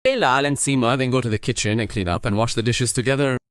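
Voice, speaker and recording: A man's voice: medium pitch, fast, fairly bright tone, clean tone, no room sound, studio quality. A man reading scripted narration for a family story as its narrator.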